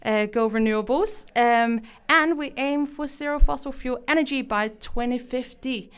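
A woman's voice: high voice, normal speed, clear, resonant tone. A young woman from Denmark with a Danish accent, hosting a podcast in a chatty, enthusiastic way with frequent fillers. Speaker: podcast host. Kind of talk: casual podcast talk.

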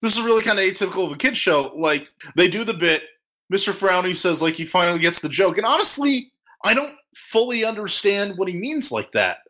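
A man with a fairly high, very bright voice hosts a relaxed group discussion. He is informal and earnest, with a joking streak. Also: a little fast. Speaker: podcast host. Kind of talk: casual panel discussion of a cartoon episode.

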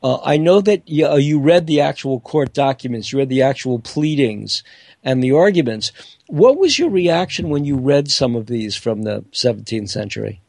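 Gravelly host asking questions. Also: male voice, mid-range voice, lively intonation, moderate pace, darkish tone, dry recording, studio quality. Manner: plain, curious questioning. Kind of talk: interview questions.

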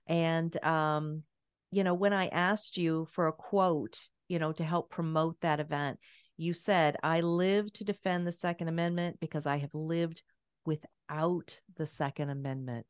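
A woman's voice: fairly low pitch, clean tone, no echo, studio quality. A woman hosting a talk radio show, upbeat and chatty, with some fillers as she introduces the guest. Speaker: podcast host.

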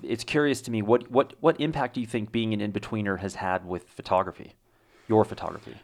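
A man with a low voice hosting an interview, talking quickly. He is chatty and enthusiastic, tripping over and restarting his sentences. He is recorded on a desk mic. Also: fairly even intonation, a little mellow. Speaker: podcast host, interviewer. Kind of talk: scripted intro, then casual interview.

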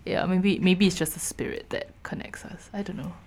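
Young Singaporean woman, lower voice, musing casually, lots of "like". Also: steady tone, a little fast, darkish tone, in a whisper. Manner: thoughtful, hesitant, many fillers. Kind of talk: casual conversation.

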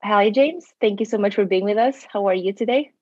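A woman's voice: mid-range voice, a little fast, warm tone. Woman interviewer with dull-sounding mic. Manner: friendly, structured questioning. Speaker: podcast interviewer. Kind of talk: scripted interview questions.

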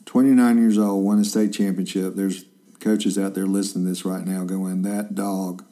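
A man's voice: low voice, level tone, moderate pace, warm tone. A man in his fifties, a journalist hosting a casual interview, chatty and rambling with lots of fillers and restarts. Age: 50s.

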